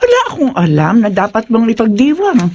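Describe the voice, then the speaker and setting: A woman's voice: fairly high pitch, very animated, a little fast, darkish tone, dull audio, a little hiss or hum. Serious, reproving older woman. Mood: disapproving. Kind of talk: horror audio drama acting.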